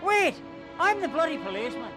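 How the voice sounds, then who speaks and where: Harried, animated police inspector. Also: male voice, extremely high pitch, a little fast. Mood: urgent. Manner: blunt, exasperated, colloquial. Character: harried, gruff male London police inspector. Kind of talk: dramatic film dialogue. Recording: echoey room with faint music.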